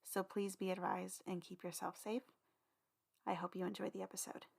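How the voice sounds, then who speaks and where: A woman's voice: mid-range voice, level tone, moderate pace, gravelly, slightly rough. A woman presenting a podcast, giving an informal spoken disclaimer.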